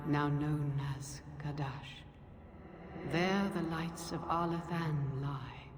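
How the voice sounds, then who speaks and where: Cryptic female lore keeper, very low and unhurried, grave, fantasy game, echoey with background noise. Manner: grave, deliberate, incantatory. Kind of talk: video game voice acting.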